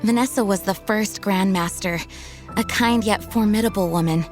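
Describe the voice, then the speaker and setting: An actress voicing a dutiful, earnest female knight commander in a video game, speaking formally and in measured tones. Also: mid-range voice, soft background music, natural voice.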